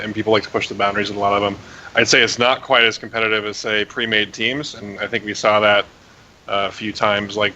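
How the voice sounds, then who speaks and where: A tired game developer, a man whose voice is a little raspy, rambling with many fillers as a guest on a call. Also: fairly low pitch, sharp tone. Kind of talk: casual interview over call. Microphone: remote voice call. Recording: dull hissy call audio.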